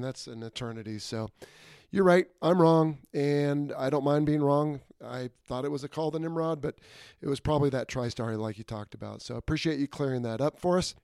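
A middle-aged man hosts a podcast in a relaxed, conversational manner. His voice sits at an ordinary pitch, and the recording is close and dry, with no room sound. He tends to trail off quietly at the ends of his sentences. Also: no room sound. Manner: easygoing and conversational, often drops in volume at the ends of sentences. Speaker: podcast host. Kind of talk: casual podcast hosting.

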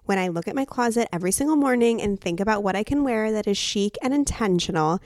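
A woman's voice: mid-range voice, animated, fast, a little mellow, no echo. Woman podcaster with a close, dry sound. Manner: enthusiastic, personal endorsement. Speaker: podcast co-host reading sponsor ads. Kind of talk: chatty scripted ad read.

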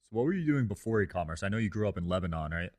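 A man's voice: low-pitched, animated, very fast, a little mellow. A man hosting a podcast, asking questions in an easygoing way and agreeing often. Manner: easygoing, short agreeing replies. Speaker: podcast host. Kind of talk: casual podcast interview.

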